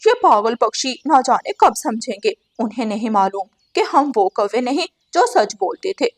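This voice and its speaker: Smooth-talking cartoon crow wife, woman performer, high pitch, always scheming. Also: sing-song, normal speed. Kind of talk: Hindi cartoon story dialogue.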